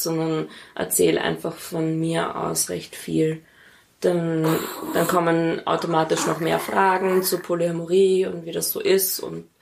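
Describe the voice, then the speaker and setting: A woman with an Austrian accent giving casual, reflective interview answers. Her voice sits a little low, stays very even in pitch and has a strong creak. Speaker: interview guest.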